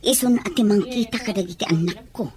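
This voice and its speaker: Actress as anxious Ilocano mother, medium-pitched voice, radio comedy drama delivery. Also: a little fast, darkish tone, lightly acted. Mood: worried. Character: worried mother, far from her children.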